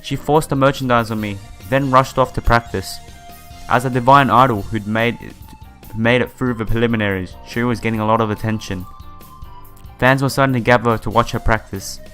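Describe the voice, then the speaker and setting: A man's voice: mid-range voice, fairly even intonation, fairly quick, fairly bright tone. Casual man with music behind him. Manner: stumbles over read lines, sympathetic asides. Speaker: host of a game playthrough. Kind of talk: casual let's play, reading visual novel text aloud with asides.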